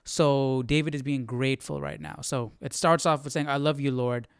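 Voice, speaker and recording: A man hosting an informal Bible study, teaching casually and thinking aloud with halting, repeated phrases. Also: medium pitch, steady tone, gravelly, clear, resonant tone, no room sound, studio quality. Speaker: host of a Bible study live stream.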